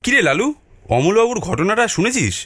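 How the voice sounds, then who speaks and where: A man playing an earnest, respectful villager in an animated horror story. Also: high-pitched, moderate pace, slightly rough, faint music behind, full character voice. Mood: concerned.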